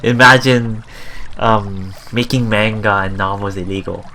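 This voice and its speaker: A man hosting a let's play, reading game text aloud and adding halting, musing casual commentary, with water sounds behind him. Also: on the low side, fairly even intonation, pushed and bright, slight background noise.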